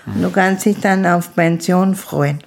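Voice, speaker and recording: Gravelly-voiced woman in her fifties. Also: lowish voice, fairly even intonation, slight background noise. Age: 50s. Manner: slow, halting speech with restarts. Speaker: interview guest. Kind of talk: casual conversation.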